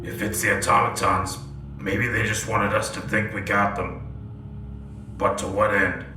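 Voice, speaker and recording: Actor as gruff male soldier, gravelly, terse, wary, echoing space, music underneath, sci-fi audio drama. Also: lowish voice, little pitch movement, unhurried, recorded from a distance, lightly acted. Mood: doubtful. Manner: terse, clipped phrases.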